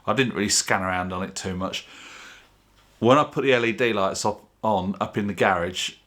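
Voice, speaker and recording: A man with a fairly low voice hosts a homemade video. He explains things casually, in an informal and plain-spoken manner, as if chatting straight to the viewer. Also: moderate pace, a bit bright. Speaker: video channel host.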